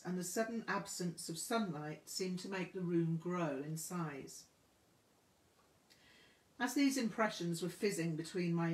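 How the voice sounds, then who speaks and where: A woman reading a memoir aloud as scripted narration, with measured, deliberate phrasing. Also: fairly low pitch. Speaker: audiobook reader. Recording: roomy, echoing room sound.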